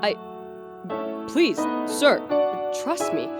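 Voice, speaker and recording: A woman voices a driven young male science student in a radio drama. The delivery is earnest, formal and theatrical, with ambition in it. An instrumental score plays clearly behind. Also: medium pitch, huge pitch swings, drawn-out words, loud music behind the voice, exaggerated voice. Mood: fervent. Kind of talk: radio play narration and acting.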